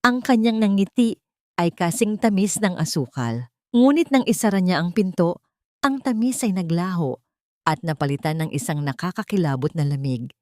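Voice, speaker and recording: Woman storyteller, slightly low voice, very lively intonation, dramatic scripted narration, dry studio-like sound. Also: normal speed, no echo.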